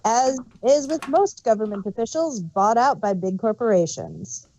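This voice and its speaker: A woman's voice: a little high, very animated, normal speed, warm tone, highs cut off, a little hiss or hum. A woman co-hosting a fan review show, casually explaining details and filling in references in an informative way.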